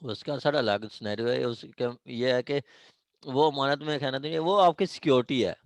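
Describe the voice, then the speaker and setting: A man's voice: medium pitch, normal speed, no echo. Pakistani man lecturing, close dry sound. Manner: explanatory, often checking understanding; code-switches into English legal terms. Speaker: law lecturer. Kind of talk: informal classroom lecture with examples.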